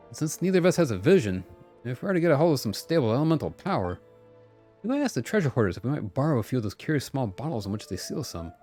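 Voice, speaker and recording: A man acting out a confident, animated game adventurer. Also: mid-range voice. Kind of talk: dramatic read-aloud of game dialogue.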